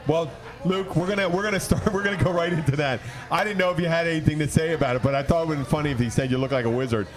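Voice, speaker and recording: Higher-voiced man, rambling podcast host. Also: rapid-fire. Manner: rambling, teasing, argumentative. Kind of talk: casual banter.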